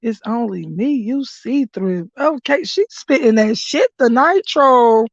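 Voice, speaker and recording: Hoarse, rowdy woman live-stream host. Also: a little high, animated, a little slow, no echo. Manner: loud, laughing, profane hype commentary. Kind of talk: casual live-stream chat. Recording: dry, dull lo-fi stream audio.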